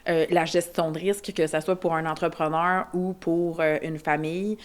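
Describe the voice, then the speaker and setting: A woman's voice: low voice, little pitch movement, normal speed. A woman in her forties with a Québécois French accent, talking candidly as a podcast guest, with many fillers and restarts. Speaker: insurance advisor guest. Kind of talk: casual podcast conversation.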